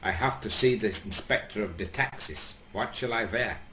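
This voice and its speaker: A man's voice: fairly low pitch, normal speed, bright, forceful tone. Nervous fretting shopkeeper guy, man putting him on, pleading, unsure. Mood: worried. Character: timid worried male fur-shop owner.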